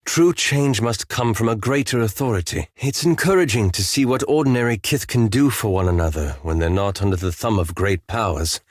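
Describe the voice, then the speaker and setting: A man's voice: fairly low pitch, a little fast, few pauses, dry recording, studio quality, lightly acted. An actor playing a courteous, wry and anxious scholarly male elf companion in a fantasy video game, with formal, precise enunciation. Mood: earnest, wary.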